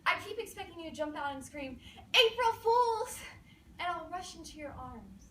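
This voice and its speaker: A woman's voice: extremely high pitch, sing-song, normal speed, sharp tone, full character voice. A woman performing a comic stage monologue as a quirky, manically lovesick wife refusing divorce, dramatic and pleading. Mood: desperate, lovesick. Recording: roomy, distant mic, faint noise.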